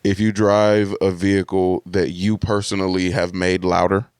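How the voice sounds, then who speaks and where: A man's voice: flat delivery, normal speed, a little mellow, clean tone, dry recording, clean studio sound. A man co-hosting a podcast, chatting casually and laid-back about everyday topics. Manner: laid-back, says others call his voice deep, hears it as mid. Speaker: podcast co-host. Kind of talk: casual chat.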